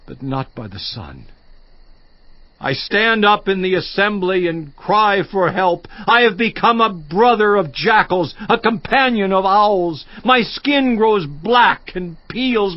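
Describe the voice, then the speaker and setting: A man's voice: high-pitched, dry recording, highs cut off. An actor in a dramatized audio Bible playing an anguished, righteous man who solemnly protests his innocence to God. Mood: anguished lament. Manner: slow, solemn, impassioned declamation.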